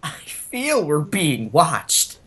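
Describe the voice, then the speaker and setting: A woman's voice: sits low, big pitch swings, extremely slow, fairly bright tone, breathy edge, full character voice. A woman voicing teenage heroes in an amateur adventure story, in a theatrical, exclamatory way. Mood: earnest.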